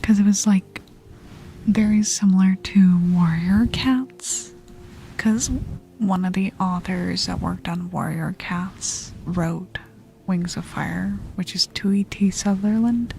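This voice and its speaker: Slow, whispery woman doing relaxed ASMR chat. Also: medium pitch, low-quality recording. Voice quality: soft, breathy, often whispered. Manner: relaxed, slow, intimate murmur. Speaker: ASMR streamer. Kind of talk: ASMR, casual chat with live viewers. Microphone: close ASMR microphone. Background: music.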